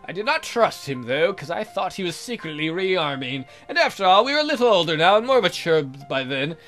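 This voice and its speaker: A man with a high, bright voice reads a visual novel aloud as a let's play host. His delivery is exaggerated and comic, breaking into joking asides, with music playing faintly behind him. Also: very animated, fairly quick. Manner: theatrical, jokey, exaggerated.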